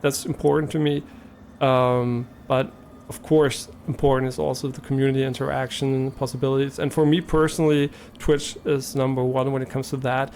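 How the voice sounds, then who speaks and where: A man's voice: medium pitch, flat delivery, normal speed, a little mellow, slight background noise. A young man with a German accent, talking casually on a live stream, hesitant and rambling with many fillers and restarts.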